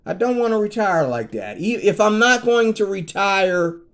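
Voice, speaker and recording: A man's voice: high-pitched, expressive, normal speed, fairly bright tone. A man in his fifties ranting in a casual vlog, rambling and repeating himself, growing heated and emphatic. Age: 50s. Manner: rambling, repeats "you know what I mean". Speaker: vlogger.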